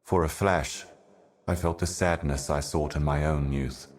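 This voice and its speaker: A super deep ancient video game herald, grave and weary, slow cryptic doom monologue. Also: male voice, fairly even intonation. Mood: grave, brooding. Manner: grave, deliberate, ominous, poetic.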